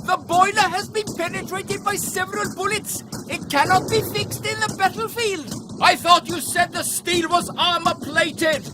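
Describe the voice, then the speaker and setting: High-pitched soldier amid loud vehicle noise man acting. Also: moderate pace, hardly any pauses. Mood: urgent. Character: embattled army captain and his unflappable engineer. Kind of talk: steampunk radio comedy acting. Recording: noisy vehicle battle sound effects. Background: outdoor engine and battle ambience.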